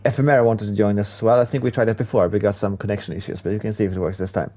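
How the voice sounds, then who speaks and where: A man's voice: lowish voice, steady tone, rapid-fire, dark tone. A man from Sweden, English not his first language, chatting casually to viewers and reading comments while he races on a live stream. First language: Swedish. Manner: chatty, laughs at himself, reads chat aloud.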